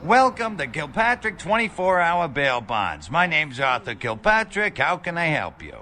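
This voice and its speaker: A man's voice: on the high side, big pitch swings, normal speed, bright, forceful tone, slight background noise. A man playing a chatty, slightly seedy late-night bail bondsman with an American accent in a video game. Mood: chatty, amused. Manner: colloquial, jokey, rambling.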